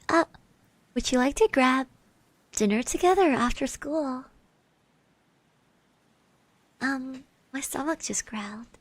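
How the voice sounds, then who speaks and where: A woman playing a shy, caring schoolgirl classmate in a soft, partly whispered ASMR roleplay, speaking hesitantly and bashfully with gentle encouragement. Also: sits high, dry recording. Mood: embarrassed.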